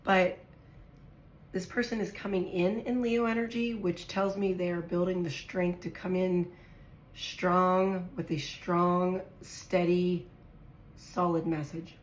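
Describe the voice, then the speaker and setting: Hoarse low-voiced woman reading tarot. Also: flat delivery, slow pace. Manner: chatty, thinks aloud while drawing cards. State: hoarse, raspy from a virus. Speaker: tarot reader. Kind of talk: casual tarot reading to viewers. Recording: echoey room with faint noise.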